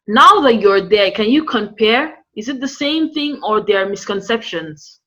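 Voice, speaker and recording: A woman's voice: on the high side, lively intonation, normal speed, fairly bright tone, highs cut off. A woman hosting a channel, asking interview questions in a friendly, chatty way.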